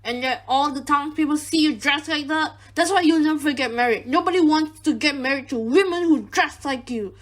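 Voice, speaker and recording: A harsh, domineering patriarch in a radio drama, acted by a woman. The voice sits very high, and the manner is controlling and stern toward the family. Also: moderate pace, few pauses, close to an everyday voice. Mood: scornful. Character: harsh, domineering father, abusive to his daughter.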